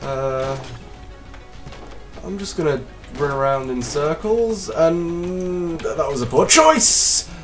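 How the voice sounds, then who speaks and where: A man with a slight cold, casually commentating his gameplay as a let's play host, excitable and self-deprecating. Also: fairly high pitch, slow, distant mic, faint music behind.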